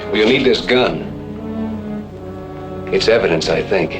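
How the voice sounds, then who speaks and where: Low-voiced laconic cowboy. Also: male voice, animated, unhurried, over music. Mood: stunned, guarded. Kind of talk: old-time Western radio drama acting.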